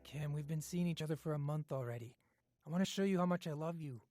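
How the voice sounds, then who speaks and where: A man playing a smooth-talking, self-serving teenage boyfriend in audio drama dialogue. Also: on the high side, fast talker, mellow, faint music behind, lightly acted. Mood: coaxing.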